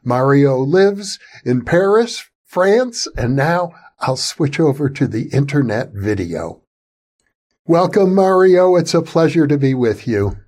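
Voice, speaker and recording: A man hosts an interview and introduces his guest in a measured, formal manner. His voice sits a little high for a man. He then moves into easy back-and-forth chat. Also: very animated, a little mellow. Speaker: host, interviewer. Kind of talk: interview hosting.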